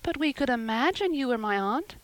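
A woman plays an earnest orphan girl from a storybook, pitched high and full of drama. She speaks effusively and eagerly, in a close, dry recording. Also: lively intonation, brisk, dry recording. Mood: wistful, pleading. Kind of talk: audiobook character voice.

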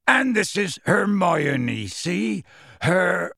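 A man putting on a huge, boisterous half-giant with a kind heart, bellowing in a raised, swooping voice. He coaxes slowly and drags his words out. The audiobook recording is dry and close. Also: high voice, very animated, creaky, no echo, voice pushed up, full character voice. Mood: coaxing. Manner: loud coaxing, slow and drawn out. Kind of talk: audiobook character voice.

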